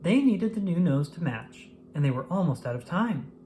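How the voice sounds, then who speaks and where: A woman with a low-pitched voice reads a children's story aloud. Her intonation rises and falls in a lively, expressive way suited to young listeners. Some background noise sits behind her narration. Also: moderate pace.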